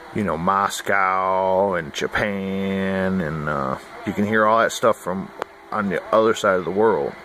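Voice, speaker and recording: An American man chatting casually to camera in a laid-back, folksy, hesitant way, like a rambling hobbyist. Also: deep voice. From United States. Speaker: hobbyist vlogger. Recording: noisy amateur camera audio.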